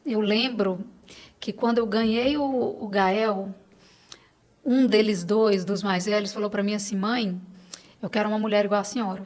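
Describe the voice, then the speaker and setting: A woman's voice: mid-range voice, steady tone, normal speed, a little mellow. A middle-aged Brazilian woman speaking Portuguese as a podcast guest, in casual, earnest personal conversation, repeating phrases for emphasis.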